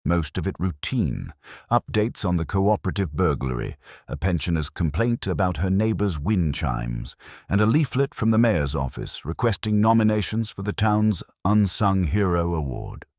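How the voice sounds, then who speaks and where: Man, bass voice, scripted story narration, measured, dryly wry, close dry studio-like sound. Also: animated, a little mellow, no room sound. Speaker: audiobook narrator.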